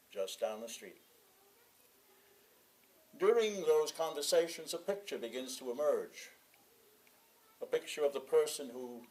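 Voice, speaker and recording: Man reading a sermon in measured phrases. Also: mid-range voice, a little mellow, rough recording. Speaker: sermon reader. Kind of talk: sermon read from a written text.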